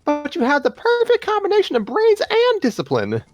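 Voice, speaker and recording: A man's voice: very high voice, normal speed, no echo, highs cut off, faint music behind, pitched above the performer's own voice, full character voice. A man voicing a cheerful, eager and admiring young woman in a story reading. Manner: breezy, eager, animated.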